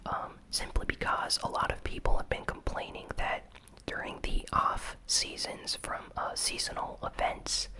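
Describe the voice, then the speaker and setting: This is an ASMR host who whispers through a gaming news recap. The voice is breathy and almost entirely unvoiced. The delivery is hushed and unscripted, with a hesitant flow. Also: unhurried.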